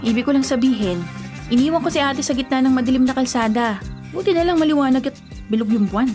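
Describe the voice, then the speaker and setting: Sassy younger sister, Filipino accent, high voice, scoffing and teasing, radio drama with music underneath. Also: female voice, lively intonation, quick pace. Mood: matter-of-fact. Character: exasperated, teasing younger sister, skeptical of old superstitions.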